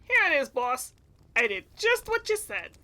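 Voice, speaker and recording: A woman's voice: very high-pitched, very animated, unhurried, pushed and bright, soft background music, heavily acted. A woman playing a tough, brusque, streetwise gangster's sidekick in an audio drama. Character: tough, curt gangster henchwoman.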